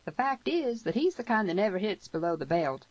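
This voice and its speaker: A woman plays a tough old cowboy in a western audiobook, solemn and blunt. The folksy speech is emphatic and pitched up. The recording is dry and dull. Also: very animated, a little fast, no room sound. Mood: grave warning. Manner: folksy rustic grammar, emphatic. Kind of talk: western audiobook character reading.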